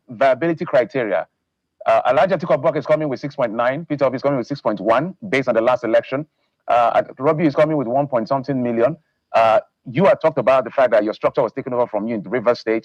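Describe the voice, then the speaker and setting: A man's voice: medium pitch, darkish tone, no echo. A man interviewing a politician on TV, polite but pressing to wrap up. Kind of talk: broadcast interview. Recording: dull dry broadcast audio.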